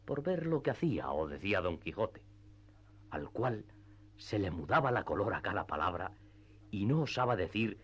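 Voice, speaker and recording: A man narrating a classic literary radio play in a measured, storytelling manner. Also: lowish voice, very animated, fairly bright tone, husky. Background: music.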